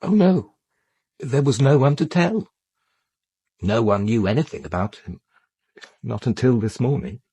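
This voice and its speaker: An anxious parish priest, with a soft, dark tone and a husky edge. He sounds unworldly and uneasy, in a dry radio drama recording. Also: mid-range voice, expressive, measured pace, pauses often, no echo.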